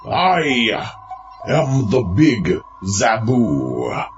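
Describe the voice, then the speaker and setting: An actor playing a bossy, irritable alien villain and eldest brother, haughty and scolding, in a comedic audio drama. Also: male voice, fairly low pitch, wildly swooping pitch, very slow, muffled recording, music in the background, heavily acted. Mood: grandiose.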